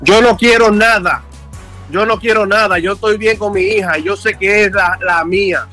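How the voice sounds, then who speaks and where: A young man argues on the phone in a high, forceful voice, indignant and insistent. Faint music plays behind him. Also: steady tone, normal speed. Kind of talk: heated phone conversation.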